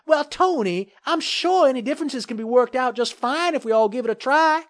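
A man's voice: very high voice, lively intonation, moderate pace, voice pushed up. A man voicing a genial small-town mayor in an audiobook story, eager, smiling and conciliatory. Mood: placating.

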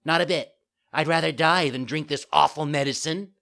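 A stubborn little marionette boy from a storybook. The voice is pitched far up, loud and whining. It protests petulantly and swings widely in pitch. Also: sing-song, fast. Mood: tearful defiance.

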